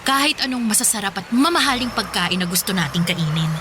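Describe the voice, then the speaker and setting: A woman's voice: mid-range voice, animated, fast, noisy background, lightly acted. A woman playing a playful, ambitious college girlfriend in a radio drama, speaking conversationally with a Filipino accent. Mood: playful, affectionate.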